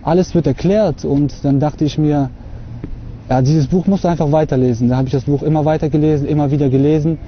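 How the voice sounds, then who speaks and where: Young guy talking to the camera out on a lawn, kinda halting, lots of ums, muffled camera audio. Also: fairly high pitch, steady tone, slight background noise. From Russia. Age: young adult. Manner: hesitant, many fillers, earnest. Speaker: convert giving a testimony. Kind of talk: unscripted talk to camera. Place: outdoors on a lawn.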